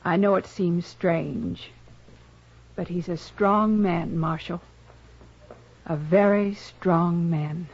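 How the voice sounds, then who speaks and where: An actress playing a guarded, earnest woman back from long captivity in a 1950s radio drama, speaking formally and haltingly. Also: lowish voice, a little mellow, slightly rough, full character voice. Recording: dull vintage radio recording.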